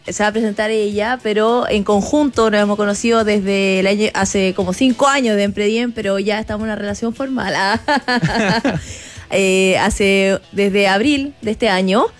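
A woman's voice: medium pitch, measured pace, faint music behind. A woman co-hosting a talk program, speaking effusively and rambling, with many fillers and restarts. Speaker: co-host, program leader. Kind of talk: casual talk-show chat.

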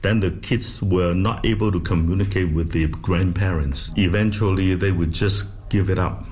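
A man with a very low voice talks about his teaching in an interview. He is plain and matter-of-fact, pausing with fillers as he thinks. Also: fairly even intonation, darkish tone. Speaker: language teacher.

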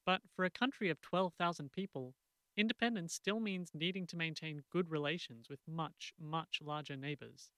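A man with a bright, smooth, resonant voice, pitched a little high, presenting a radio piece. He reads his script and puts questions to a guest in a crisp, measured way, recorded dry and close. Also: dry recording. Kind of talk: interviewing and scripted narration.

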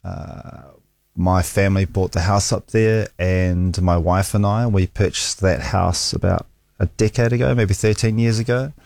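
A man's voice: sits low, steady tone, measured pace, gravelly, darkish tone, no room sound. A middle-aged man with a New Zealand accent, a guest telling candid, self-deprecating stories about his life in a studio interview. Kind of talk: casual interview storytelling. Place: radio studio.

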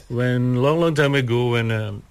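Halting man. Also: mid-range voice, clear, resonant tone. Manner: halting, searching for words, laughs often. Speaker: metal band vocalist. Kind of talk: casual interview answers.

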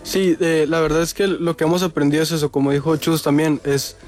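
A man's voice: on the high side, flat delivery, fairly quick, a little mellow, soft background music. Mexican man answering interview questions. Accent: Mexican Spanish. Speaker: interview guest, restaurant founder.

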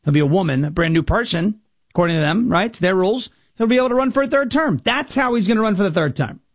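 An American man with a raspy voice from New York, hosting a talk radio show in an emphatic, jokey way. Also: sits high, animated, fast talker, no echo, clean studio sound. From New York, United States. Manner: emphatic, jokey, slips into impression bits. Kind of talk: political talk show monologue with comic bits.

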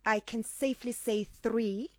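A South African woman from the Western Cape answering interview questions in a measured way, pausing with frequent ums. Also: on the high side, steady tone, darkish tone, dry recording. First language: Afrikaans. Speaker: interview guest, actress and producer.

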